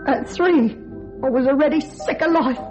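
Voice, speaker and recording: A woman's voice: high-pitched, normal speed, lightly acted. A woman playing a bitter, self-pitying, unstable character in an old-time radio thriller, dramatic and dwelling on her misery. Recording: dull vintage radio recording, music behind.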